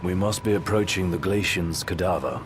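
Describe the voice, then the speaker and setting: A man plays a composed, cautious adviser in a video game. His low voice is formal and precise, with clear noise behind it. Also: moderate pace, natural voice.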